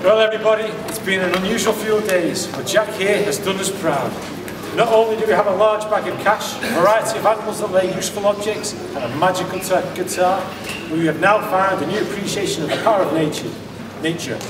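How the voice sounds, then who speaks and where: A proud, declamatory pantomime leader acted by a man makes a speech in a high voice. It is heard in a reverberant hall over clear background noise. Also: fast talker, close to an everyday voice. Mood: proud, declaiming. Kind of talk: amateur stage pantomime acting. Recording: echoey hall from far off, noisy.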